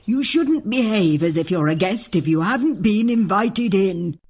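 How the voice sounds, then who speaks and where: A woman voicing a prim, easily shocked mother bear in a storybook, with a breathy voice. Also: mid-range voice, expressive, a little slow, a little mellow. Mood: scandalised.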